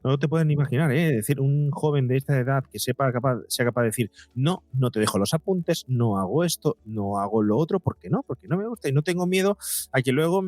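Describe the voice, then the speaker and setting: A man's voice: medium pitch, lively intonation, a little fast, darkish tone, no room sound. Spanish man explaining emphatically, dry close sound. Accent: Spain. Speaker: psychologist and podcaster, interview guest. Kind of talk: conversational explaining in a podcast interview.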